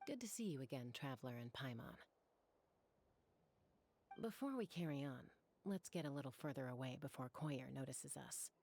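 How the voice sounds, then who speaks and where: Low-voiced, composed warrior woman. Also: lively intonation, normal speed, a little mellow, a little hiss or hum. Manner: composed, reassuring. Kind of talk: video game voice acting.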